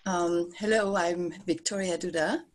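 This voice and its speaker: Excited lowish-voiced woman saying hi on a video call. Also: measured pace. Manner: excited, upbeat. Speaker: participant in a women's circle. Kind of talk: casual video-call introduction.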